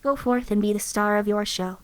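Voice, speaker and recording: A woman's voice: medium pitch, fairly quick, dry recording, lightly acted. A woman voicing a playful female AI companion robot, delivering game voice lines with a cheeky, joking manner. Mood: teasing.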